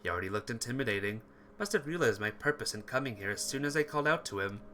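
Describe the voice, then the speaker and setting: A man reading a visual novel aloud as a playthrough host, narrating in an expressive, theatrical way. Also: medium pitch, quick pace, slight background noise. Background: music.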